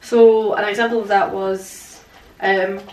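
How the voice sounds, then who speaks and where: A woman's voice: medium pitch, flat delivery, slow, a little mellow, a little hiss or hum. A young woman, answering interview questions hesitantly with plenty of fillers from a living room sofa. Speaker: guest, paranormal investigator. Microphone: far camera. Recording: echoey room from a distance.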